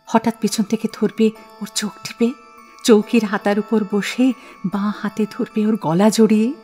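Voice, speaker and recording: A woman's voice: mid-range voice, steady tone, moderate pace, dark tone. A woman giving dramatic literary narration for an audio play. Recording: long reverb, music behind.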